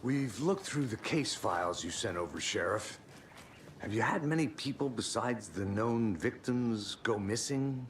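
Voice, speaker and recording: A man's voice: on the low side, expressive, unhurried, slight background noise. An actor playing a dry, terse male FBI agent in a game cutscene.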